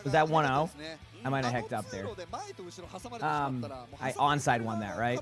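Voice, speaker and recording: A man streaming live and chatting casually with his viewers while watching a match, rambling with lots of fillers, his voice going a little hoarse. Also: mid-range voice, measured pace, music in the background. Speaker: game streamer.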